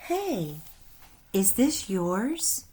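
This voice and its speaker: A woman voicing a friendly, curious young girl in a storybook, with a gently inquisitive manner. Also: medium pitch, big pitch swings, extremely slow, slight background noise, voice pushed up.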